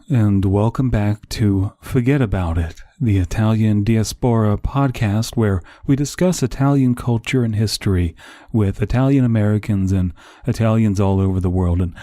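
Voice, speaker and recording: A man plays an earnest male heritage podcast host in a comedy parody. The voice is low, very soft-edged and dark. He talks in a hesitant, halting way, recorded dry and close. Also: dry recording, lightly acted. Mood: earnest welcome.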